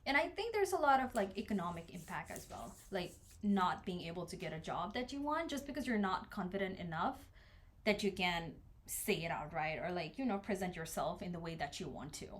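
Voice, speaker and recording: A young woman from Nepal, co-hosting a casual podcast and explaining her points with personal examples in a measured way. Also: fairly low pitch, lively intonation. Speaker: podcast co-host.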